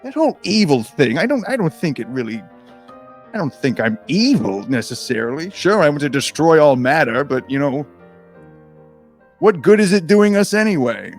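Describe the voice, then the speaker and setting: A man's voice: on the high side, big pitch swings, normal speed, faint music behind. A man playing a mild evil villain who excuses himself in a casual, hesitant way. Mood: sheepish, self-justifying. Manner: casual, hesitant, self-justifying. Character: mild, self-excusing evil villain bent on destroying matter.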